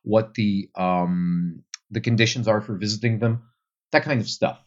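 A man's voice: on the low side, a little slow, lo-fi sound. A young Flemish man, a Dutch speaker, answering interview questions about tour guiding thoughtfully, with many fillers. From Belgium. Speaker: tour guide guest.

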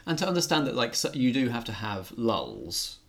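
A man co-hosting a casual arts review chat, talking haltingly as he thinks aloud. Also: mid-range voice, huge pitch swings, vocal fry, fairly bright tone. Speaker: arts show co-host reviewing films. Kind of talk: casual film discussion.

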